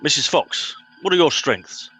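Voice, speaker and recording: A man playing a pompous, bossy middle-aged captain in an amateur comedy radio play, brisk and officious. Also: on the high side, expressive, sharp tone, breathy edge, soft background music, full character voice, low-quality recording. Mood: brisk, patronising.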